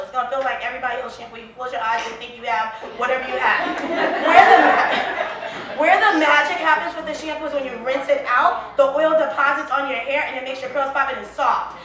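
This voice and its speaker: A woman's voice: high-pitched, a little fast, heavy background noise. Chatty woman, echoey distant room. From Liberia. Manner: chatty, animated, with restarts and quoted asides. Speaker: brand founder. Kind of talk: casual interview chat.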